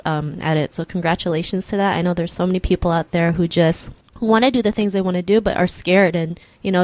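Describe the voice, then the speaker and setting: A woman's voice: on the low side, darkish tone, no room sound. A woman hosting a podcast interview, talking loosely and chattily with fillers and restarts. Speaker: podcast host. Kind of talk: casual interview chat.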